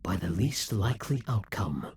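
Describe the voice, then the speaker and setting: A man voicing a proud, vengeful male demon king centuries old, speaking slowly and theatrically in an audio roleplay, with hissing whispers at times. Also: fairly low pitch, expressive, no room sound. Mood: incredulous. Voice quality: very husky, breathy.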